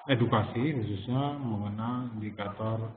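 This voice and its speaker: A man hosting a live online trading webinar, talking slowly with many pauses and fillers and a slight cough. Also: fairly low pitch, background noise. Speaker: webinar host and forex educator. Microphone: computer mic over webinar. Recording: tinny phone-like webinar audio.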